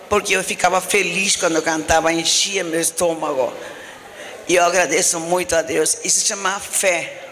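A woman's voice: on the low side, a bit bright. A middle-aged woman from Paraguay, a guest singer, telling earnest stories and joking with the host on a handheld mic in a studio. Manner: earnest, storytelling, playful mimicry. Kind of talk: casual TV interview chat. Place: TV studio with ranch backdrop.

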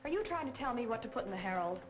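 Bold young lady reporter, wry, fairly high voice, vintage crime film, muffled sound. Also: sing-song, racing, over music. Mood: challenging. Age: young adult. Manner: wry, challenging. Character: bold, sassy young woman newspaper reporter. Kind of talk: 1940s film acting. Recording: dull 1940s film soundtrack.

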